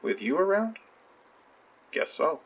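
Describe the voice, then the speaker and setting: An earnest, insecure friend in an audio drama, shy and hopeful. The voice is slightly husky and very mobile in pitch, with halting, questioning phrases that restart often. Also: expressive. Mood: wry, fond. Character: earnest, insecure friend shyly hoping for a date. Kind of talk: naturalistic audio drama acting.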